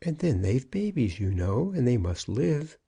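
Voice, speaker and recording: A plaintive old shopkeeper from a storybook, acted by a male narrator. The voice is lifted high and a little rough, wheedling and pleading as it dodges every question. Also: big pitch swings, measured pace, dark tone, full character voice. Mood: pleading, defensive. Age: older. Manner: piteous, wheedling. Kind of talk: audiobook character acting.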